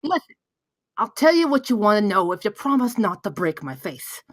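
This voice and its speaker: A woman's voice: medium pitch, sing-song, moderate pace, no echo. A woman playing a frightened, defensive gamer dad who pleads and protests in exasperation at a comedy table read. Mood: defensive, exasperated.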